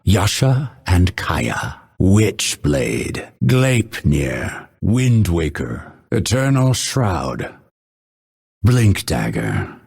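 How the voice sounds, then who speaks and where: Game assassin: hunted outcast, spiteful and sardonic, heavy gravel, swinging inflection, menacing. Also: male voice, sits low, very animated, a little mellow, exaggerated voice. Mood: terse, matter-of-fact. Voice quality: gravelly, husky. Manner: measured, menacing, dryly sardonic. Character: unveiled male assassin, hunted since birth, bitter, spiteful, coldly purposeful. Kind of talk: video game character voice lines.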